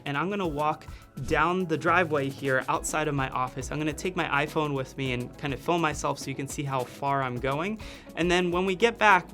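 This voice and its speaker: A man's voice: mid-range voice, fairly bright tone. A man casually reviewing video gear in his office studio, explaining things step by step in a friendly way.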